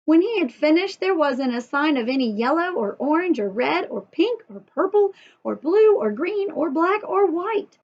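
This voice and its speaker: A woman reading a story aloud to young children in an expressive, sing-song way, adding chatty asides and questions to the listeners. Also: sits high, normal speed, lo-fi sound.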